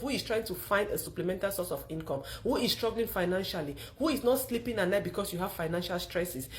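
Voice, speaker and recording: A middle-aged woman with a Cameroonian accent gives a motivational testimonial pitch, speaking emphatically with repeated phrases. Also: a little mellow. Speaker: online marketer telling her own story.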